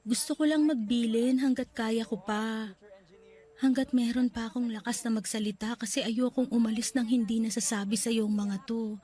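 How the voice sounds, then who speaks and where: An actress playing a gravely ill young woman, tender and loving, speaking softly and pleading in a radio drama. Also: fairly high pitch, steady tone, moderate pace. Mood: earnest. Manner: soft and pleading, voice breaking into tears.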